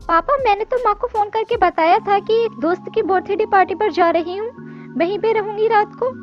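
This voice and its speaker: A woman voicing a spoiled, bold young woman from a rich family in an animated story. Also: extremely high pitch, normal speed, pitched above the performer's own voice. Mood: whiny, defensive. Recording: dull lo-fi audio with music.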